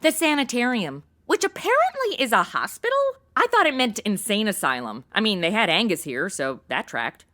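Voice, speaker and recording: A woman's voice: mid-range voice, very animated, moderate pace, bright, forceful tone. A woman playing a flippant, self-centered young American in a comedy audio drama, with slangy, sarcastic, exaggerated delivery. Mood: flippant, grossed out.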